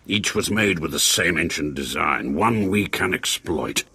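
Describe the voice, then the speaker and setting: Husky, deep Sith lord. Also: male voice, expressive, unhurried, pushed and bright, no room sound, heavily acted. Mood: grave, ominous. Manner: formal, theatrical, ominous. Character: grave, anxious Sith lord scholar, older man. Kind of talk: video game cutscene acting.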